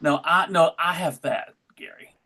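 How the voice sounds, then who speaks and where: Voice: mid-range voice, measured pace, bright, forceful tone. A host who narrates stage directions and chats casually between sketches at a table read. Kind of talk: casual hosting and scripted narration.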